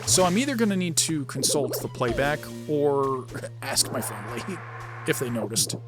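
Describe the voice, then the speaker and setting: A man's voice: medium pitch, expressive, normal speed, over music. A man giving casual let's-play commentary as host, rambling and thinking aloud. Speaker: gaming video host.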